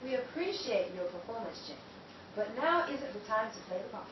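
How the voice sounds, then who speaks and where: A woman acts out a commanding, insistent in-law in a radio drama, firm and cutting. The recording is very echoey with clear background noise. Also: a little high, very animated, fairly quick, lo-fi sound. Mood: firm, impatient. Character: insistent, commanding female in-law of a plotting family. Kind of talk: amateur radio play acting. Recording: very echoey, noisy, distant microphone.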